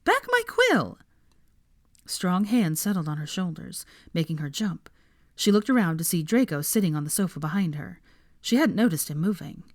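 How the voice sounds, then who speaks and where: A woman's voice: fairly low pitch, a little fast, a little mellow, no room sound, clean studio sound. A woman narrating fiction in a scripted, audiobook-style reading.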